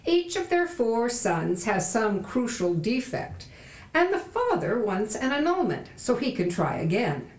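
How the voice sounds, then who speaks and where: A woman's voice: on the high side, very animated, a little slow, recorded from a distance. A woman presenter reading a scripted introduction with dramatic narration. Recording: echoey room, away from microphone.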